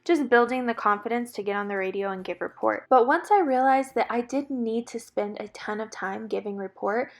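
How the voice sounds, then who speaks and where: A woman's voice: fairly high pitch, brisk, few pauses. A woman speaking as an instructor and channel host, explaining things in a casual, chatty, encouraging and plain-spoken way. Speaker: EMS educator and channel host. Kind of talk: casual instructional talk with a demonstration radio report.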